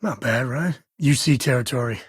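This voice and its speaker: A man performs a middle-aged, easygoing space explorer and devoted father for a video game. His mid-pitched voice is husky and slightly rough, recorded close and dry. He talks in a folksy, colloquial way with a wry edge. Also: moderate pace, no room sound, natural voice. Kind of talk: video game companion dialogue.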